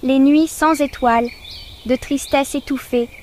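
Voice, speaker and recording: A woman reciting a poem as a narrator in a solemn, measured, declamatory style. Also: high-pitched, fairly even intonation, a little mellow, a little hiss or hum. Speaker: poetry narrator.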